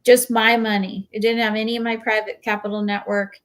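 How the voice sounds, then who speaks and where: A woman's voice: a little high, little pitch movement, brisk. A woman talking informally and explaining things on a panel, with an earnest, advising manner. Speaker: real estate investor on a panel.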